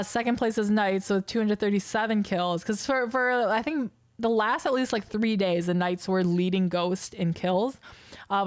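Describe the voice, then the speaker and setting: A young woman casting an esports livestream solo, hoarse from losing her voice, chatty and rambling as she reads out scores and viewer chat. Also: mid-range voice, dry recording, studio quality. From Wisconsin. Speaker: esports caster.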